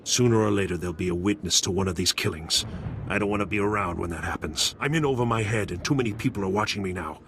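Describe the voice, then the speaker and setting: An actor playing a shady alien bounty hunter in a video game, curt, defensive and matter-of-fact, with some background ambience. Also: male voice, sits low, fast talker, background noise. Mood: uneasy bargaining. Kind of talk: dramatic video game acting.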